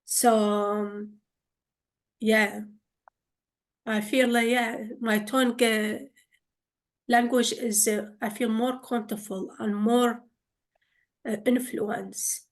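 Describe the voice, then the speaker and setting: Slow, halting woman searching for words. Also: on the high side, flat delivery. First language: Arabic. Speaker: poet. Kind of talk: panel conversation.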